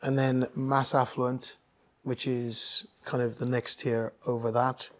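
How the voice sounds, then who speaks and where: This man grew up in Nigeria and talks as a guest in a relaxed interview. His voice sits mid-range, and he thinks aloud, with frequent ums and restarts as he gathers his points. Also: medium pitch, takes it slowly. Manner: thoughtful, with many ums and restarts. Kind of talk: casual interview conversation.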